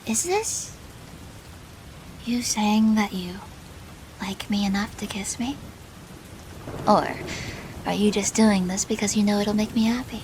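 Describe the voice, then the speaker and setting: A woman playing a doting, possessive yandere girlfriend in an intimate ASMR roleplay, teasing softly and often dropping to a whisper. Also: medium pitch, background noise. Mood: needy, suspicious. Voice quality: soft, breathy with whispered phrases.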